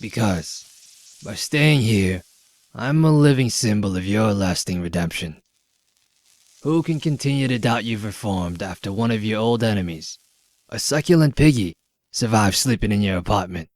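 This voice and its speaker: A man's voice: lowish voice, animated, normal speed, a little mellow, no echo, natural voice. Man voicing a whiny, self-important talking pig. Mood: smug pleading. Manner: wheedling, indignant, wordy. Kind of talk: comic dub character acting.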